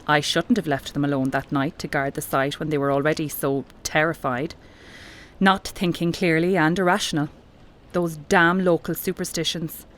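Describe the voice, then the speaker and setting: A woman's voice: low voice, fairly even intonation, moderate pace, close to an everyday voice. A woman playing a brusque, defensive archaeology professor in an audio drama, speaking formally and dismissively. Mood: regretful. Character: renowned female archaeology professor, brusque, defensive, obsessed with her find.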